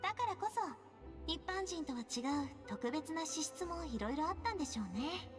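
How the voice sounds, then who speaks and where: A woman voicing a cheerful, confident young woman leader in a game, with a lively, bright manner and sweeping intonation. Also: high-pitched, fast talker. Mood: warm. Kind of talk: game voice acting. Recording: game audio with music behind.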